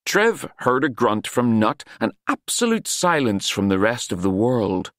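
A man's voice: mid-range voice, sing-song, unhurried, no echo, studio quality. A man reading scripted fiction as an audiobook narrator, in a dramatic storytelling style.

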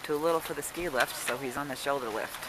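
A woman's voice: a bass voice, quick pace. A woman chatting casually to her small child, cheering him on in an encouraging, baby-talk way while filming a home video. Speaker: mother filming her child's first ski outing. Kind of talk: casual family chat. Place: outdoors on a snowy ski slope. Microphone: handheld camera, speaker behind it. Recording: outdoor home video with clear background noise.

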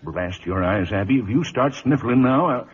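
An actor playing a blustery, loyal cavalry officer in a 1950s radio drama. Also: male voice, medium pitch, animated, normal speed, slightly rough. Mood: blustering. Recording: thin, phone-like vintage radio broadcast.

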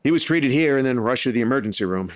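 An actor playing a formal, clinical hospital doctor in an audio drama, with precise wording. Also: male voice, mid-range voice, lively intonation, quick pace, no echo, natural voice. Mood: clinical, grave.

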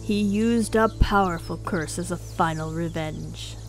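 A woman's voice: on the low side, measured pace, pitched below the performer's own voice. Old male fantasy-game wizard, played by a woman: kindly mentor, commanding, formal, faint music behind. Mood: earnest, friendly. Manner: solemn, formal, storybook phrasing.

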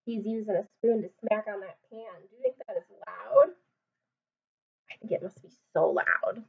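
A woman in her twenties reading aloud to young children like a teacher, asking them simple questions in an animated way. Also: mid-range voice, moderate pace, creaky, breathy edge. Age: 20s. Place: small home room, indoors. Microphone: webcam or laptop mic at arm's length. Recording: dull webcam sound, distant mic.

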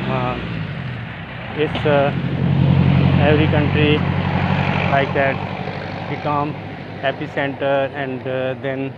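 A man with a Pakistani accent talking to viewers in a halting, hesitant way, in short broken phrases, as he vlogs outdoors on a walk. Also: mid-range voice. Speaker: vlogger. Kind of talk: casual walk-and-talk monologue to viewers. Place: outdoors, walking on university grounds. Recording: muffled outdoor audio with clear noise.

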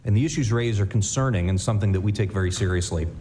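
A man's voice: on the low side, quick pace, warm tone, slight background noise. A man speaking at a desk mic in a city council chamber, giving a careful formal statement. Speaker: city official. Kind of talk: public meeting statement.

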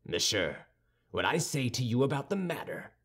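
A man voicing a haughty, sardonic young musketeer in an audiobook, formal, measured and condescending. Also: medium pitch, very animated, fairly bright tone. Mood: grave, cool. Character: cool, haughty young musketeer with churchly airs, dryly sardonic. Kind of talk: audiobook character dialogue.

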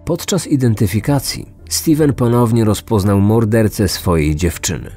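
A man's voice: on the low side, moderate pace, dark tone, slightly rough, soft background music. A man delivering scripted true crime documentary narration as a podcast narrator.

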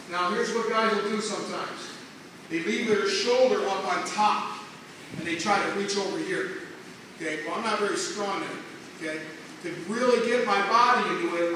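A man's voice: sits high, bright, forceful tone, slight background noise. A middle-aged man, a wrestling coach, explaining moves to students while he demonstrates them on the mat, often checking in with "okay?". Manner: instructive, repeats "okay?" to check in; talks while grappling on the mat. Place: indoor wrestling room with mats. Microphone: far camera. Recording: echoey room, distant from mic.

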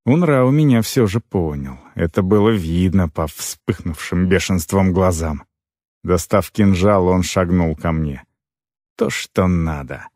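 A man's voice: low voice, a little slow, a little mellow, no echo. Dry, close-sounding male audiobook narrator. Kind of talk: scripted first-person narration of a fantasy novel.

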